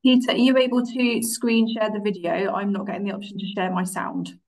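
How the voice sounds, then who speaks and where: Brisk fast-talking woman organizer on a call. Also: medium pitch, a little mellow, low-quality recording. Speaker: event organizer. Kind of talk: coordinating technical setup. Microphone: video call.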